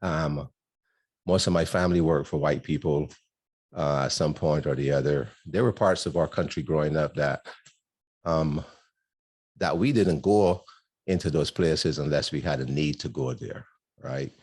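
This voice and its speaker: An older man from Barbados, a guest speaker telling his life story in a rambling, storytelling way with many pauses. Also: a bass voice, breathy edge. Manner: warm storytelling with frequent ums and laughs. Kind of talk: personal testimony talk.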